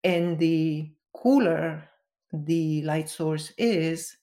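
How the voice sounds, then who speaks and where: A woman's voice: low voice, drawn-out words, mellow. A woman from Venezuela, a guest giving careful, step-by-step explanations in an interview. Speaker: guest, color expert.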